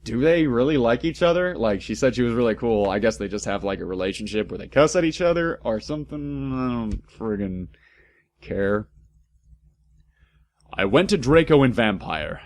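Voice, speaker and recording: A man's voice: medium pitch, expressive, normal speed, a little mellow. A man doing a comic dramatic read-aloud, theatrical and exaggerated, breaking into amused asides. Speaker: narrator and host of a comedic fan fiction reading.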